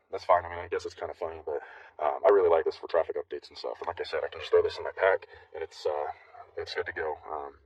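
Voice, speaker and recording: A man's voice: low-pitched, no room sound. A man giving a casual, unscripted product review in a plain-spoken, informal way with hesitant phrasing. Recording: slightly distant mic, dull lo-fi sound.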